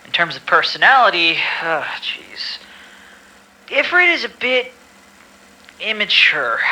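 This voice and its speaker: A man's voice: sits high, sharp tone, slight background noise, heavily acted, low-quality recording. A man playing an exasperated medical staffer in an audio drama, reading patient files formally with weary, grumbling asides. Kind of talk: amateur audio drama acting.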